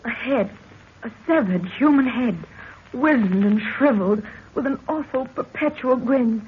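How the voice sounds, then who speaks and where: A woman's voice: on the high side, unhurried, close to an everyday voice. An actress playing a sensitive, fearful young woman, a professor's daughter, with melodramatic 1930s radio delivery. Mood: horrified. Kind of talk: 1930s radio drama acting. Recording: tinny, hissy 1930s radio recording.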